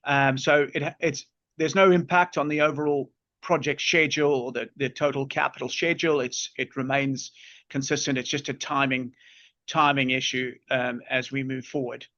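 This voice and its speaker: A male finance executive gives answers on an earnings call with very flat intonation and a halting, word-repeating delivery. The audio is dry and a bit dull. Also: mid-range voice, dry recording. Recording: dull, band-limited conference call audio.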